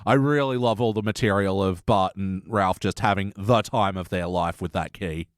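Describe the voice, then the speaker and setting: A man's voice: low-pitched, moderate pace, dry recording, clean studio sound. A man hosting a casual, jokey review chat and putting questions to his guests. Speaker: podcast host.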